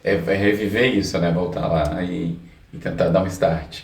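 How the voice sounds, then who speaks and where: A Brazilian man hosting a casual interview, halting as he searches for his words. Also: sits low, fairly even intonation, vocal fry. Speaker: interviewer, podcast host. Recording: echoey, distant from the microphone.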